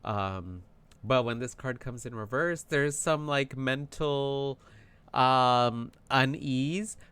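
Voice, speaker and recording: Guy doing a tarot reading, voice worn out from yelling, talks fast and excited with lots of ums. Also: medium pitch, clear, resonant tone. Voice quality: animated, chatty, many fillers. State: tired voice from yelling. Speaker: tarot reader. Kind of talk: casual tarot reading monologue.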